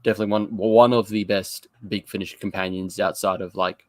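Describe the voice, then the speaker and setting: A man's voice: on the low side, little pitch movement, fairly quick, darkish tone, dry recording, studio quality. A man giving a casual review as a panelist, hesitant and often repeating his words. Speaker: audio drama review panelist.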